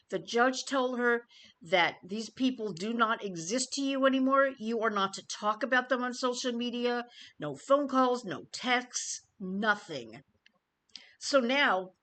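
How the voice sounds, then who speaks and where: A woman YouTube commentator giving an informal news update to viewers, phrasing things deliberately and emphatically with pauses. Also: mid-range voice, fairly bright tone.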